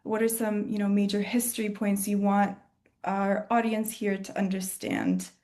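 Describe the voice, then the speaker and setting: A woman's voice: mid-range voice, normal speed, darkish tone. A woman moderating a virtual panel over a video call, friendly and organized as she introduces the questions.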